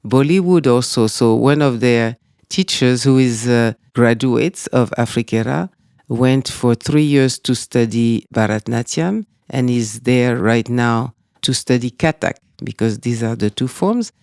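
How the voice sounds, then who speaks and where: A man with a French accent speaking as a guest in an interview, talking in a measured, reflective way. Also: mid-range voice, gravelly, darkish tone, clean tone, no room sound, clean studio sound. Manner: measured, reflective, with frequent "you know". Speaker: guest, dance school director. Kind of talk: conversational interview.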